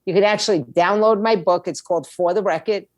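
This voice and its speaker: A woman's voice: mid-range voice, a little fast. A middle-aged woman giving conversational advice as an interview guest, blunt and streetwise, making her points with rhetorical questions. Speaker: podcast guest, music attorney and indie label owner.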